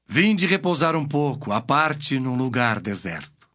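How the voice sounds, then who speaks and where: A man playing a calm, gently commanding holy teacher in a dramatized Bible audio. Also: medium pitch, unhurried. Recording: music bed behind.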